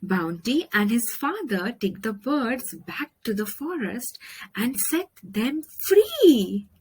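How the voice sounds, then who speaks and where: A woman with an Indian accent reading a children's story aloud, expressively and deliberately, with dramatic pauses. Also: fairly high pitch, big pitch swings, husky, poor audio. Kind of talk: children's picture book read-aloud.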